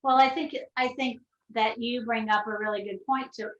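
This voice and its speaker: A woman's voice: fairly high pitch, steady tone, normal speed, a bit bright. A woman moderating a panel conversationally, affirming and summing up what others say. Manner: affirming, summarising others' points. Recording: echoey, dull lo-fi call audio.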